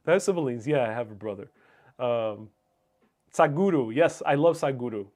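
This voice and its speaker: A man's voice: mid-range voice, animated, moderate pace, darkish tone. A man whose first language is Spanish, hosting a livestream in a casual, musing, somewhat hesitant way as he reads and answers viewer comments. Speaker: livestream host.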